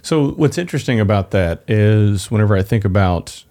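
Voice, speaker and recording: A man's voice: on the low side, animated, normal speed, a little mellow. A man hosting a podcast interview, thinking aloud and hedging before he asks his guest a question. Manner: thoughtful and hedging, musing before asking. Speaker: podcast host.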